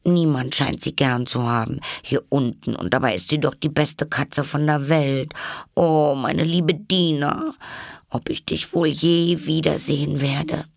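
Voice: mid-range voice, big pitch swings, a little slow, a bit bright, breathy edge, dry recording, studio quality, pitched above the performer's own voice, full character voice. A reader voicing a curious, polite and eager little girl in a storybook, earnest and exclaiming. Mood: wistful.

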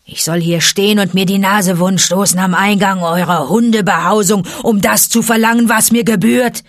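A woman's voice: mid-range voice, fairly even intonation, moderate pace, dry recording, studio quality, voice pushed up, lightly acted. A woman reading a storybook and voicing a bullying male tiger who roars his threats. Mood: scornful. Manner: roaring, bullying. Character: menacing boastful male tiger.

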